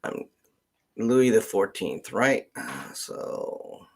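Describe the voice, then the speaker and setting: Male genealogy host from Canada, gravelly and slow-paced, casual, muttering through an on-screen search. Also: fairly low pitch, sing-song, darkish tone, slight background noise. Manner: halting, thinking aloud, muttering to himself. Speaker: genealogist host of a live question session.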